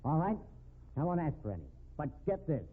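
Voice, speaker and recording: Slippery defiant blackmailer, scornful, animated pitch swings, 1950s radio drama, phone-like sound. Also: male voice, a little fast, breathy edge. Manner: scornful, blustering. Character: defiant, sneering male blackmailer, slippery crook. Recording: narrow phone-like old radio recording.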